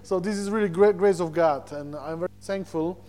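A man's voice: high-pitched, dark tone. A man from Slovakia with a Slovak accent preaches informally through personal stories, searching for words in his second language. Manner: halting, searching for words in non-native English. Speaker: visiting preacher.

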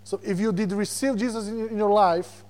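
A man from Slovakia with a Slovak accent, preaching a sermon as a guest preacher, animated and repeating words as he searches for them. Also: high-pitched, moderate pace. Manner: animated, repeats words when searching, chuckles between points.

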